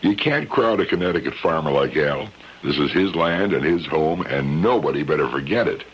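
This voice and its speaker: A man's voice: rumbling low, lively intonation, normal speed. An actor playing a practical, courteous man in a radio drama, casual and reassuring in his way of talking. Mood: calm, practical. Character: level-headed, courteous man, peacemaker and host. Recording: noisy, dull old radio recording.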